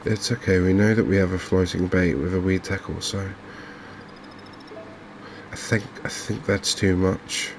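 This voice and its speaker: A softly spoken man with a low, gravelly voice gives ASMR-style game commentary. His delivery is very even and unhurried, as if thinking aloud, with faint noise behind him. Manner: soft-spoken, unhurried, murmuring to himself. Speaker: game streamer.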